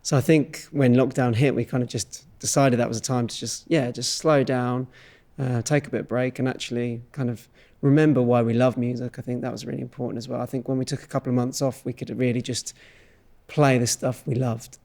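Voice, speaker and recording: A man's voice: medium pitch, steady tone, a little fast, dark tone. A man in his thirties, a touring musician, talking casually and reflectively in an interview. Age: 30s.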